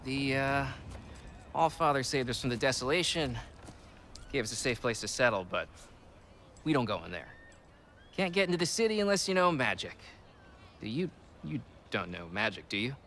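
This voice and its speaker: An actor playing a friendly, curious, excitable young refugee man in a video game, chatty and awkward, often stopping and starting. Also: medium pitch, moderate pace, pauses often, slight background noise. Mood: curious, amazed. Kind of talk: naturalistic video game acting.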